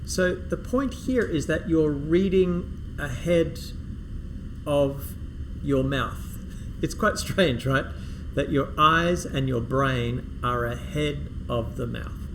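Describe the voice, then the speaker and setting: A man teaching a reading-aloud strategy for an English test, modeling sample sentences with exaggerated pitch rises and pauses. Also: noisy background.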